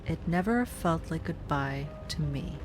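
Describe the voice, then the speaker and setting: A woman playing an obsessive, sweetly pleading ex-girlfriend in an intimate audio roleplay, speaking softly and haltingly. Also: deep voice, lively intonation. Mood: wistful, pleading. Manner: soft, halting, wistful, with sighs and nervous little laughs. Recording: music under the voice.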